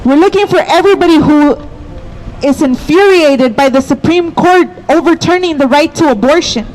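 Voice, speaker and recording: High-pitched woman delivering a scripted appeal. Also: moderate pace, a little mellow, low-quality recording. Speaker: activist announcer.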